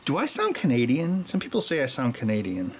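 A man's voice: medium pitch, wildly swooping pitch, fairly quick, a little hiss or hum. Man chatting at a workbench. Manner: chatty, wanders into joking tangents. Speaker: electronics maker and show host. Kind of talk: casual explanation while working.

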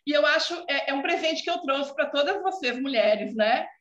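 A woman's voice: high-pitched, lively intonation, normal speed. A Brazilian woman in her forties with a gaúcha accent, talking earnestly on a video call and often tagging her sentences with "né". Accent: Brazilian Portuguese, gaúcha. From southern Brazil. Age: 40s. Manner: warm, earnest, many filler "né" tags. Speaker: student guest. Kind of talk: casual video call chat. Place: home room indoors. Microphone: video call laptop mic. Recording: echoey, dull video call audio.

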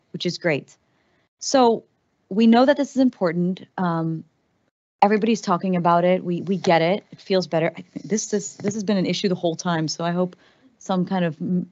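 A woman's voice: fairly low pitch, muffled recording. A woman in her thirties from the Netherlands, giving a conversational keynote on a large stage with a headset mic and plenty of fillers. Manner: animated, conversational, frequent fillers. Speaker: keynote speaker. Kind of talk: conference talk.

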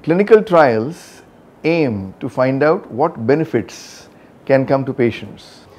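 A man's voice: mid-range voice, lively intonation, slow pace, a little mellow. A middle-aged man with an Indian accent, a senior doctor explaining to camera. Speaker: senior doctor, hospital director. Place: book-lined office indoors.

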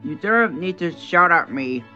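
A woman's voice: on the low side, lively intonation, measured pace, pushed and bright, highs cut off, faint music behind. A woman voicing a dim-witted, sulky orange ape in a cartoon.

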